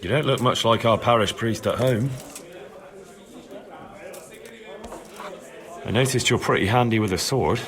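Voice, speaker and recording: A man's voice: mid-range voice, a little fast, lightly acted. An actor playing a dogged young medieval village man in a video game, plain-spoken, earnest and insistent. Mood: curious, probing. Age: young adult. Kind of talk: video game cutscene acting.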